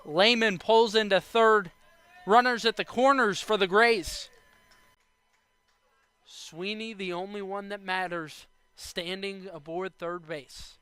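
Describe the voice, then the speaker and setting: A young American man with a high, bright voice calls baseball play-by-play. He takes his time between phrases, describing the game as a broadcast announcer. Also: steady tone, slow, smooth. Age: young adult. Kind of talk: live baseball radio and TV play-by-play commentary.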